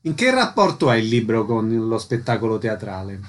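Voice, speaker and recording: A man's voice: fairly low pitch, big pitch swings, normal speed. A man hosting a radio programme, presenting details and putting concise questions to guests. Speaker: radio interviewer and host.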